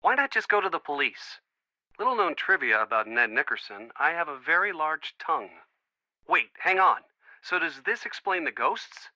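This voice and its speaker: An actor playing an earnest, wisecracking young American boyfriend, chatty and eager, in an adventure game. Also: male voice, a little high, big pitch swings, normal speed, sharp tone, no room sound, exaggerated voice, low-quality recording. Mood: jokey. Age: young adult. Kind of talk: scripted adventure game dialogue.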